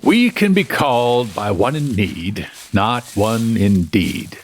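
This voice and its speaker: A man's voice: on the low side, expressive, takes it slowly, slight background noise. A man playing a formal, bookish and haughty adventurer in a fantasy audio drama. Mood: musing, puzzled.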